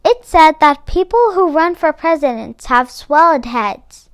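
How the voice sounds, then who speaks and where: A woman reading a children's picture book aloud as a storyteller, phrasing deliberately and stressing key words. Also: high voice, lively intonation, no echo, clean studio sound. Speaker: read-aloud book club host.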